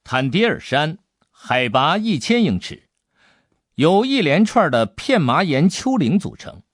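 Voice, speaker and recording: A man's voice: on the high side, dry recording, clean studio sound. A man reading an adventure novel aloud as a scripted audiobook narration.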